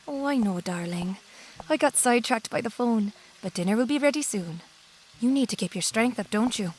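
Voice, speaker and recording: A woman's voice: on the high side, lively intonation, moderate pace, slight background noise, lightly acted, poor audio. A woman playing a soothing, attentive wife in an audio drama, polite and reassuring in manner. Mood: sweetly coaxing. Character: soothing, attentive new wife with veiled intentions.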